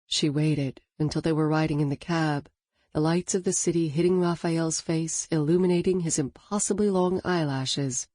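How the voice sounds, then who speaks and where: A woman reading a novel as an audiobook narrator in a calm, even storytelling manner. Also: low voice, moderate pace, dry recording, studio quality. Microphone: studio microphone.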